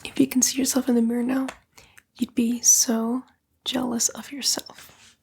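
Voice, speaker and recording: A woman's voice: a little high, level tone, moderate pace, darkish tone, a little hiss or hum. A woman voicing a sly, sassy female demon in a whispered ASMR roleplay, coaxing and flippant, with disdainful slang. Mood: flattering, sly. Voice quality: breathy, mostly whispered.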